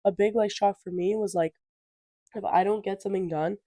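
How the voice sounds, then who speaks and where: A woman's voice: mid-range voice, fairly even intonation, a little fast, dark tone, dry recording, clean studio sound. An eighteen-year-old woman with a stuffy nose from a cold, chatting casually as a podcast co-host and filling her talk with fillers. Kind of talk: casual chat.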